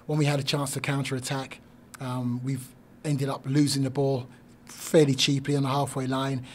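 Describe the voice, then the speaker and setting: An older man gives a post-game press conference as head coach, speaking into a desk mic. His pitch sits in a middle range but barely moves, and his measured answers are full of fillers and restarts. A faint hiss lies under the voice. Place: small press room. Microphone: desk mic on stand.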